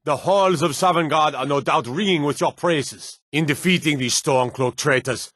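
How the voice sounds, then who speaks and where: An actor playing a stern, dutiful fantasy guard and soldier in a video game, formal and commanding, with measured delivery. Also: male voice, a little high, a bit bright, no room sound, studio quality. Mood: rousing, solemn. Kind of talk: scripted fantasy game dialogue.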